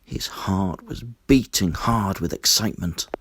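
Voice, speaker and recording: A man narrating a children's story in an expressive, deliberate storytelling manner. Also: low voice, husky, no room sound. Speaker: storybook reader.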